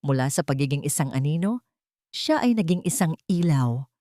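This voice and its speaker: A woman narrating a scripted audio drama story in a sentimental, earnest way, with measured phrasing and dramatic pauses. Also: deep voice, animated, warm tone, no room sound, studio quality.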